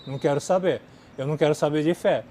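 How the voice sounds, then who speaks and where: A Japanese-born man, a non-native speaker, chats loosely with a fairly high, mellow voice. He fills his talk with little fillers and swings between bright bursts and low mutters. Faint outdoor noise sits under him. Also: fast. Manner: chatty, many fillers, drifts between lively and mumbling. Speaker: channel host answering viewer questions. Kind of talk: casual chat. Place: outdoors in a city.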